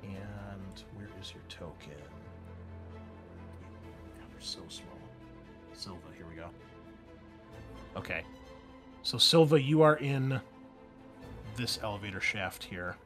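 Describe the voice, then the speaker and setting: Low-voiced man running a tabletop game. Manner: halting, conversational improvised narration. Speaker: game master. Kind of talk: narrating a tabletop roleplaying game. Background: background music.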